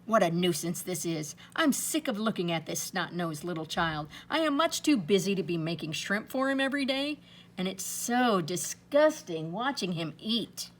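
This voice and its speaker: A woman's voice: fairly low pitch, expressive, moderate pace, a little hiss or hum, lightly acted. A woman voicing a greedy, ungrateful male flower seller from a storybook, speaking with grumbling, wishful emphasis. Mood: fed up.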